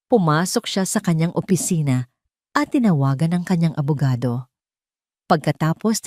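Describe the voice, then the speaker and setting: Story narrator: Filipino woman, low register, dramatic scripted read, dry studio-like sound. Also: warm tone, no echo.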